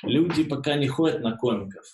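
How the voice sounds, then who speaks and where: A man's voice: fairly high pitch, animated, a little fast, a little mellow, echoey room, slight background noise. A man who works as a stand-up comic and screenwriter, chatting casually as a guest over a video call, hesitant, with lots of fillers and restarts. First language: not English.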